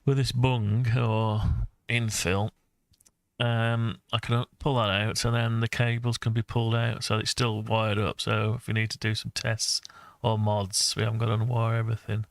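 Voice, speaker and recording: A man's voice: lowish voice, level tone, normal speed, creaky, no echo. A man giving a casual design walkthrough, thinking aloud in a hesitant, musing way as he talks through his ideas. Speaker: presenter of a hobby design project video.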